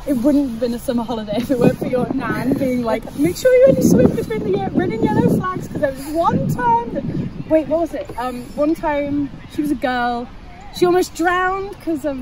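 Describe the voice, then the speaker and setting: An actress playing a teasing, blunt young British friend in a naturalistic audio drama, with an expressive, mocking way of talking. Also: female voice, high-pitched, a little fast, close to an everyday voice, poor audio. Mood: animated. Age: young adult. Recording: outdoors, loud chatter and street ambience.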